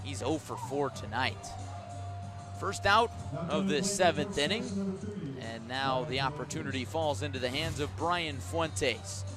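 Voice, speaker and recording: A man doing live radio play-by-play of a baseball game, with a measured call that rises sharply on big plays. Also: medium pitch, over loud music.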